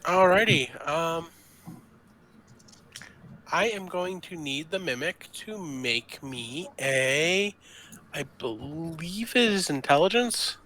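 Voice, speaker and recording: A player in a tabletop roleplaying game, chatting informally and thinking aloud in a halting way while describing moves. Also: mid-range voice, animated, sharp tone, a little hiss or hum, poor audio. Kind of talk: casual game chat.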